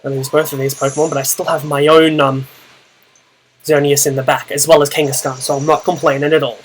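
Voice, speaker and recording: A young Australian man with a naturally loud voice, casually narrating his own Pokemon battle, thinking aloud with fillers. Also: a little high, flat delivery, fairly quick, a little hiss or hum. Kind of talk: casual play-by-play gaming commentary.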